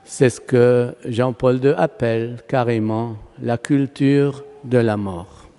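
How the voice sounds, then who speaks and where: Palestinian guy priest giving a sermon, arabic accent, slow n emphatic, big church reverb. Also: medium pitch, steady tone, warm tone, clear, resonant tone. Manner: oratorical, emphatic, with rhetorical questions and pauses. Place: large church, preaching to a congregation. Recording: long reverberant church hall echo.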